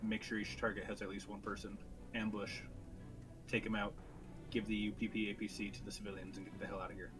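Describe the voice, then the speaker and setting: A man's voice: on the low side, little pitch movement, fast talker, over music. A man playing a tabletop roleplaying game, muttering in character and chatting with the table.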